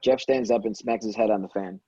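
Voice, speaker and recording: Man, matter-of-fact table-read narrator, mellow husky voice, lo-fi recording. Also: on the low side, fairly even intonation, a little fast. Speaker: stage directions reader at a table read. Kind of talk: reading script directions aloud.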